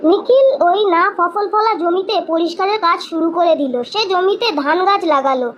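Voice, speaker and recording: Very high-pitched woman storyteller. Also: normal speed, lo-fi sound, slight background noise. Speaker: narrator. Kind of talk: scripted children's storybook narration.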